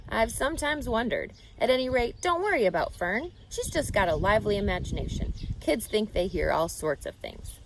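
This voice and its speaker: A woman's voice: mid-range voice, very animated, moderate pace, creaky, few pauses, background noise. Woman reading as an easygoing, amused farm father. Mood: amused, reassuring. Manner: unworried, wry. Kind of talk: children's storybook read-aloud.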